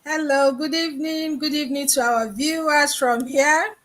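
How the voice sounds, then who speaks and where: A woman's voice: sits high, steady tone, moderate pace, fairly bright tone. A woman from Nigeria introducing herself politely as a panelist. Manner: polite and grateful.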